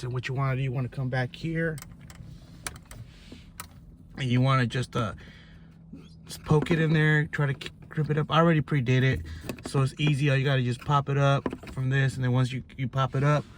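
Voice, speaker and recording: A man's voice: a little high, fairly bright tone. A man giving an informal hands-on DIY tutorial, talking through each step as he works. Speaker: DIY video host. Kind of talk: casual hands-on tutorial talk. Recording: clear background noise, clicks.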